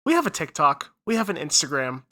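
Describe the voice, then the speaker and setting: A man's voice: a little high, very animated, fairly quick, bright, forceful tone. A man hosting a casual podcast chat, sniffly from a head cold, upbeat and running the intros and plugs. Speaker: podcast co-host.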